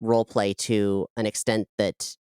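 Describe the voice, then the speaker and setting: A woman's voice: rumbling low, level tone, a little slow, darkish tone, clean tone, no room sound, clean studio sound. A woman giving casual, reflective interview answers as a podcast guest, often qualifying what she says.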